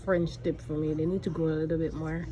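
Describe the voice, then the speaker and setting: A woman from Jamaica vlogging casually while getting a pedicure in a nail salon, relaxed and chatty, laughing often. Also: low-pitched, fast. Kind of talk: casual vlog chat.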